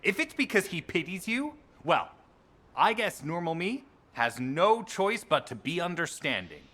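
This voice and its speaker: An actor playing a cocky, sulky young soldier in a video game, haughty and condescending. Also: male voice, high-pitched, sing-song, measured pace, sharp tone, exaggerated voice. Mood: haughty, irritated.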